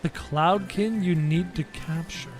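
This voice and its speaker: A man's voice: fairly high pitch, measured pace, soft background music. A man livestreaming a video game with casual, joking, exclamatory commentary, thinking aloud as he chats with viewers. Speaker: live game streamer.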